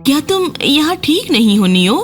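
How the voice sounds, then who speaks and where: A woman storyteller acts out a kindly queen from a children's fairy tale cartoon. She speaks in a doting way with lively pitch, over light music. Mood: caring.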